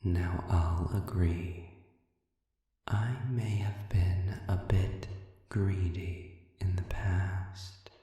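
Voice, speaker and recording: A man playing a sadistic, manipulative demon in an audio roleplay, smug and philosophical, with a low gravelly voice and a deliberate, murmuring delivery full of pauses. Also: steady tone, dark tone. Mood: silky, insinuating. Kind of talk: dramatic audio roleplay monologue. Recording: heavily reverberant, distant cavernous sound.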